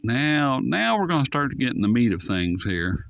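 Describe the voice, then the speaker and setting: A man's voice: medium pitch, very animated, moderate pace, creaky, a bit bright. A man giving a casual, unscripted radio demonstration with folksy enthusiasm, as a hobbyist presenter. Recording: noisy, with shortwave radio static.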